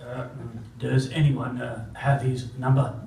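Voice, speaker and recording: A man playing a bumbling city official in a live comedy radio play, halting and stammering. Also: medium pitch, flat delivery, vocal fry, noisy background. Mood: flustered. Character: bumbling, hesitant male city official leading a meeting. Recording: echoey distant stage, audience behind.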